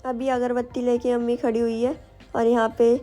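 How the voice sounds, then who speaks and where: Young woman, sore throat, fairly high voice, flat delivery, step-by-step vlog narration, faint music behind. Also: normal speed. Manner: explaining each step. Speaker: vlogger. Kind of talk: voice-over narrating a family festival.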